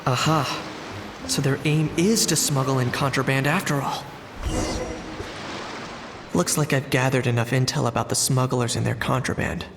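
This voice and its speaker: A man acting an earnest young game character with a creaky, medium-pitched voice. He sounds thoughtful and plain-spoken, in a long reverb. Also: fairly even intonation, moderate pace, pauses often, noisy background, natural voice.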